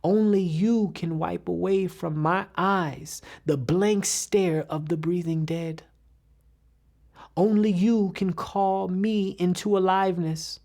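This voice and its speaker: A woman recites poetry in a low voice with a gravelly creak. Her delivery is very slow and deliberate, dramatic and expressive, with phrase endings that tend to sink into a breathy hush. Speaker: poetry reader.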